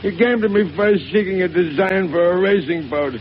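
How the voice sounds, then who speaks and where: A man's voice: high-pitched, moderate pace, lightly acted. An actor playing a guilt-ridden male boat builder losing his mind in a 1930s radio drama. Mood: confessional. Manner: anxious, then frantic and wild. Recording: dull old radio broadcast with hiss.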